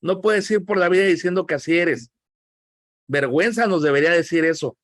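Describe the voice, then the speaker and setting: A middle-aged Mexican pastor teaching a Bible class over a video call, emphatic and teasing as he scolds his listeners. His voice sits a bit high for a man. The sound is dry and close, with no room around it. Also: a little fast, fairly bright tone, no echo. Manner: joking, emphatic, scolding in a friendly way.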